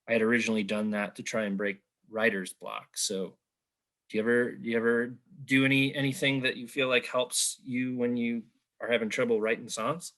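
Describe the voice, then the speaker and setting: A man's voice: mid-range voice, a bit bright. A man hosting an interview over a video call, talking in a rambling way with many fillers. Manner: talkative, rambling, filler-heavy. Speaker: interviewer, host. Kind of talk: conversational interview.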